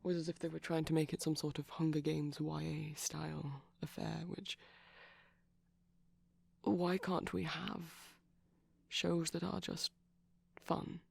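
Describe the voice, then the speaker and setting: A man doing a soft-spoken ASMR boyfriend roleplay as a shy, gentle vampire, rambling haltingly in a breathy voice that often drops to a whisper. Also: on the high side, little pitch movement, dry recording.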